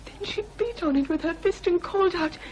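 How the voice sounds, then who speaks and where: A high, frightened young gentlewoman. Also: fairly quick, a little hiss or hum. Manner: earnest, pleading.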